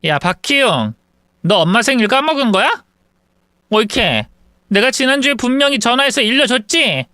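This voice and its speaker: A man voicing a grown daughter who scolds her brother in a quick, indignant way. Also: very high-pitched, very animated, sharp tone, no echo, studio quality, pitched above the performer's own voice, exaggerated voice.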